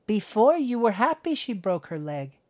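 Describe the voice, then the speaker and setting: A man's voice: high voice, big pitch swings, moderate pace, voice pushed up. A male narrator voicing a young girl who pleads and argues in a whiny way. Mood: earnest.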